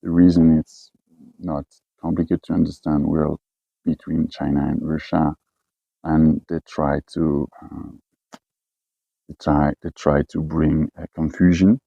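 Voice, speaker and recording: A man from French-speaking Switzerland with a Swiss French accent, talking casually in halting English with many fillers and restarts. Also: very deep voice, level tone, creaky, mellow, husky, no echo, dull audio. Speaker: expatriate herder. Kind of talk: casual personal talk.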